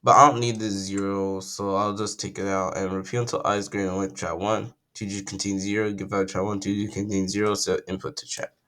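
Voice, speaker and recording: Croaky young man rambling through code. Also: sits low, level tone, quick pace, few pauses, a bit bright. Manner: rambling, self-correcting, thinks aloud. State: croaky from just waking up. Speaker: coding tutorial creator. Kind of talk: casual screen-recorded coding tutorial.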